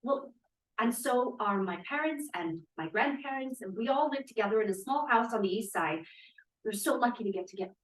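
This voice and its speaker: An actress playing an earnest, idealistic young Russian émigré scientist in a 1950s period drama at a table read. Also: female voice, a little high, expressive, fairly quick, natural voice. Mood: confessional, sad. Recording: echoey room, distant microphone.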